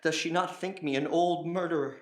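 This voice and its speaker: A woman's voice: sits low, steady tone, fairly quick, natural voice. Woman as melodramatic young lover, male role, stage verse, impassioned, echoey. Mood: anguished. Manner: impassioned, poetic. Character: anguished, lovesick young man, melodramatic. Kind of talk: classical Shakespearean stage acting. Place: indoor performance space.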